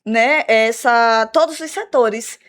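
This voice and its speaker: A woman with a Brazilian Portuguese accent, an English teacher explaining her school's program in a radio interview, measured and full of "né?" tags. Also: a little high, fairly bright tone. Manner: measured, explanatory, repeats words with "né?" fillers.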